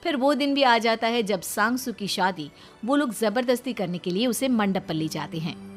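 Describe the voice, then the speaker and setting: A woman narrates a cartoon tale in a fairly high voice with animated rises and falls. Clear music plays behind her. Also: normal speed.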